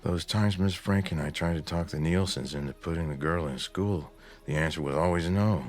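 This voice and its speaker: An American actor playing a stern, practical, middle-aged husband, blunt and insistent, in a radio drama. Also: male voice, low voice, moderate pace, soft background music, lightly acted. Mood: matter-of-fact. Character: stern, practical, impatient small-town husband.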